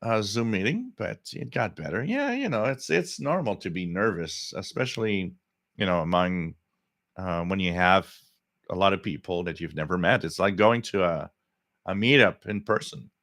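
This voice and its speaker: A French Canadian man, French his first language, hosts a live radio stream in a low, deep voice. He rambles through strong opinions, full of fillers and restarts. The sound is dry and close. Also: lively intonation, normal speed, a bit bright, dry recording. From Quebec, Canada. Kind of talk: live stream chat reading viewer comments.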